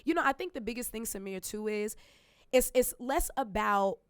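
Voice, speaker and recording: A woman guest in a casual interview, talking in an animated, emphatic way about her business and laughing often. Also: on the high side, moderate pace, smooth, dry recording, studio quality. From Jamaican family. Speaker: guest entrepreneur.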